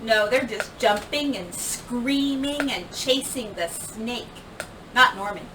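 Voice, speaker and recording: A woman reading a children's picture book aloud like a teacher, with expressive, emphatic delivery that acts out the words. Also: fairly high pitch, takes it slowly. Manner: animated, emphatic delivery with acted-out dialogue and sound words. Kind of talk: expressive storybook read-aloud. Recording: roomy, distant microphone, clear background noise.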